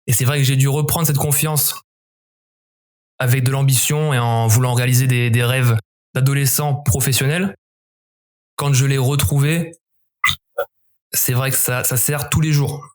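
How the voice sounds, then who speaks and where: A man's voice: medium pitch, steady tone. Reflective French man giving interview answers. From southern France, near Montpellier. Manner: measured, reflective, with frequent restarts. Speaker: guest, former special forces member.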